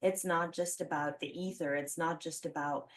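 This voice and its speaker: A woman in her thirties from the Philippines hosts a relaxed interview podcast with a low voice. She talks reflectively, sprinkling her sentences with "like" and "you know". Her voice sits a little back from the microphone. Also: normal speed. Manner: chatty, warm reflections full of "like" fillers. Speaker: podcast host. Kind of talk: casual conversational interview. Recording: voice a bit distant from the mic.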